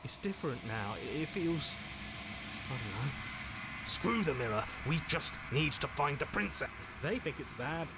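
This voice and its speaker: A troubled voice of conscience in a dark video game. He speaks haltingly and pleadingly, full of doubt, with loud music behind him. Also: a little high, big pitch swings. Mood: anxious pleading.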